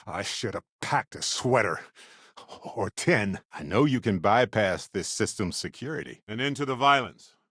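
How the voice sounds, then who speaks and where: A man voicing a warm, wry, chatty middle-aged space explorer companion in a video game, conversational and playful. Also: fairly low pitch, lively intonation, normal speed, breathy edge, no room sound, studio quality. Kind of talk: video game voice acting, short character lines.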